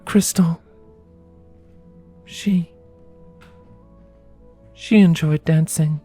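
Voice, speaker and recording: A woman acting as a female pony in an audio story, wistful yet blunt. Her voice is soft and husky, and she takes her words slowly and with feeling. Music plays behind her. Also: low voice, steady tone, exaggerated voice. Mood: wistful, tender. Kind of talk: dramatised fan fiction audio reading.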